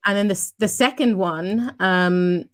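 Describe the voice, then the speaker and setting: A woman's voice: medium pitch, a little slow. A woman hosting a video call panel and, as an author, reading a prepared passage fluently and evenly. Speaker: host and author.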